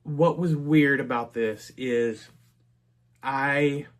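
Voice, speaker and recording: A man's voice: mid-range voice, fairly even intonation, drawn-out words. A middle-aged man from North Texas, casually telling a personal story in a chatty way, with frequent restarts and asides.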